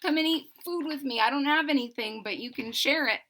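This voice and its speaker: A woman voicing a gentle, kind old male harper in a storybook reading, soft-spoken and kindly. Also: high-pitched, brisk, voice pushed up. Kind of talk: storybook read-aloud.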